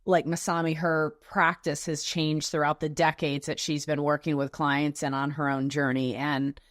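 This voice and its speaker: A woman with a very low-pitched voice hosts a wellness interview show. She speaks effusively and gushes over her guest, often restarting her sentences. The recording is dry and close. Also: little pitch movement, moderate pace, no echo. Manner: effusive, gushing praise with restarts. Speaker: podcast host. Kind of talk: warm interview chat.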